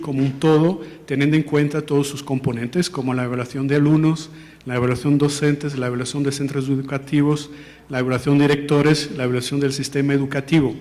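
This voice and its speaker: A man's voice: a little high, a little mellow. A man from Portugal with a Portuguese accent, giving a formal report presentation with careful, hesitant phrasing and frequent self-corrections. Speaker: presenter of an education policy report. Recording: long reverb of a large hall.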